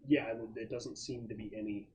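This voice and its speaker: A man's voice: fairly low pitch, steady tone, brisk, a little mellow. A man working as game master, improvising casual narration for a tabletop game. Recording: faint background noise.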